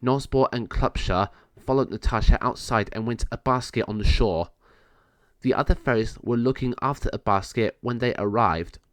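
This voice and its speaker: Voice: medium pitch, clear, resonant tone, no echo. A narrator reading a children's story aloud in a scripted read-along style.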